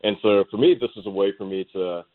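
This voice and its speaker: In dull, band-limited audio, a man talks at a quick clip. His voice sits a little low, and he speaks informally and anecdotally, like a guest explaining himself in an interview. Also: steady tone. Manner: informal, self-quoting storyteller. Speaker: interview guest, startup co-founder. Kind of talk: casual, anecdotal interview talk.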